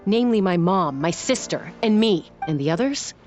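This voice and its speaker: A woman's voice: fairly low pitch, animated, moderate pace, a little mellow, faint music behind, natural voice. A woman playing a defiant, protective young female warrior in a video game, with a firm, cocky, folksy manner. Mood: firm defiance. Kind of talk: dramatic video game voice acting.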